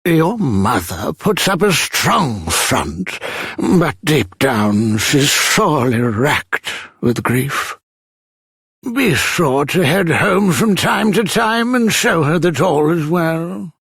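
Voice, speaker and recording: An actor playing a caring old grandfather with a raspy, creaky voice, giving earnest counsel in a fantasy video game. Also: on the high side, sing-song, takes it slowly, fairly bright tone, no room sound, heavily acted. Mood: earnest concern. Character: caring, gruff old grandfather of a young hero.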